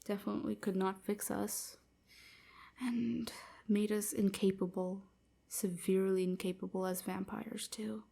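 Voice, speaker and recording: Woman as a hushed, slow, sorrowful vampire girl. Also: medium pitch, little pitch movement. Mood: somber, halting. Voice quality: soft, breathy, often near whisper. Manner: halting, wistful confiding. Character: sorrowful young enslaved vampire woman recounting her past. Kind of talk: intimate audio roleplay monologue.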